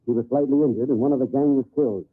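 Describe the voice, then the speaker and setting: An actor playing a brisk, authoritative army colonel in an old-time radio western. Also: male voice, mid-range voice, breathy edge, natural voice. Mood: firm, brisk. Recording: tinny, narrow-band old radio recording.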